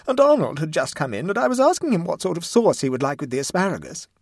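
A man voicing a distraught young mother in a storybook reading, shrill, tearful and indignant, wailing and sobbing as the words come out. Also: big pitch swings, normal speed, dry recording, studio quality, full character voice. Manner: sobbing, high-pressure wailing.

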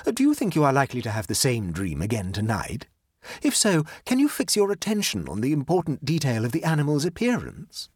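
A man's voice: medium pitch, huge pitch swings, brisk, breathy edge, no echo, clean studio sound, pitched above the performer's own voice. Man voicing a gracious, lilting society hostess. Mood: coaxing. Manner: solicitous, coaxing, polite. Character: gracious, soothing society hostess, a married lady. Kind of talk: audiobook character dialogue.

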